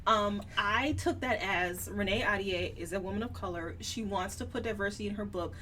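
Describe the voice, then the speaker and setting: A woman's voice: mid-range voice, moderate pace, sharp tone. A woman co-hosting a book podcast, talking through her doubts in an informal, skeptical way. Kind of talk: casual book discussion. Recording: distant mic, faint background noise.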